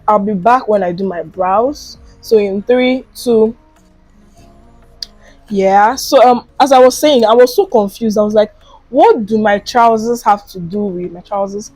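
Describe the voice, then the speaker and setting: A young Nigerian woman tells a dramatic story straight to camera while doing her makeup. She talks casually and acts out what other people said. Her voice sits at a middle pitch in a dry room, with faint music behind her. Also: unhurried, a little mellow, dry recording, poor audio. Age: young adult. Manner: chatty and dramatic, acting out quoted lines. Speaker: vlogger.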